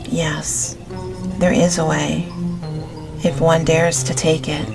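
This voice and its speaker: A woman with a slightly low voice reads a gothic novel aloud as an audiobook. Her delivery is gentle and very slow, each phrase measured. Prominent music and ambience play beneath her. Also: level tone, creaky. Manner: gentle, unhurried, measured reading. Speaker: audiobook narrator.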